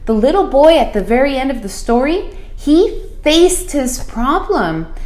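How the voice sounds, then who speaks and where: A woman reading a picture book aloud to children as a school principal, expressive and deliberate, putting questions to her listeners. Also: sits high. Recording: roomy, echoey room sound.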